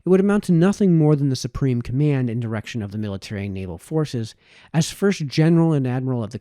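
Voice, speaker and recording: Mellow-voiced man reading with lively intonation. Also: medium pitch, no room sound, studio quality. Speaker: audiobook reader. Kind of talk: scripted narration, reading a formal historical political text aloud.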